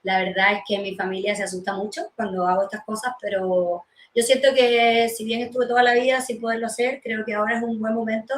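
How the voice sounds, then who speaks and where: A woman's voice: a little high, fairly even intonation, a little fast, hardly any pauses. A woman from Cuba, speaking conversationally as an interview guest. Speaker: interview guest, dance teacher. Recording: echoey room with faint noise.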